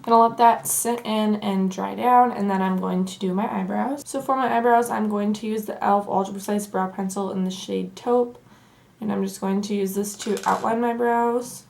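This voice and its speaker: Hoarse southern gal doing a chill makeup tutorial, kinda croaky, talks casual w lots of "like". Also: female voice, medium pitch, moderate pace, soft background music. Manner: chatty and relaxed, says "like" often. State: hoarse from allergies, losing her voice. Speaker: beauty vlogger. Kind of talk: casual get-ready-with-me tutorial.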